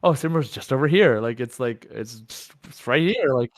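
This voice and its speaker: Halting man chatting casually, dry close sound. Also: medium pitch, expressive, dry recording. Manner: halting, repetitive, searching for words. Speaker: podcast co-host. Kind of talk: casual chat about a video game.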